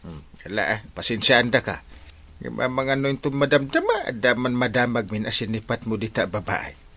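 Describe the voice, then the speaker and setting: An Ilocano man playing a firm, scolding village captain in a radio comedy drama, admonishing with drawn-out interjections. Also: medium pitch, bright, forceful tone.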